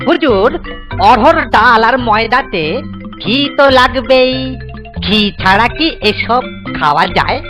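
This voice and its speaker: A woman voicing an excitable, scheming male village grocer in a cartoon comedy, blustering, stammering and repeating words. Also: fairly high pitch, unhurried. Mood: insistent. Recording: background music under the voice.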